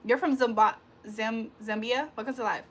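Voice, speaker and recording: A woman's voice: fairly high pitch, steady tone, normal speed. A woman doing a casual livestream tarot reading, chatting with viewers in slangy talk and reading their comments aloud.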